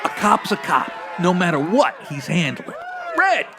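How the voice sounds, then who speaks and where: High, creaky, jittery shady barkeep. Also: male voice, wildly swooping pitch, noisy background, exaggerated voice. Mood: panicked. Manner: fast-talking hepcat slang, theatrical. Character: jittery shady underworld bar owner with hepcat slang. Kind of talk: old-time radio comedy acting.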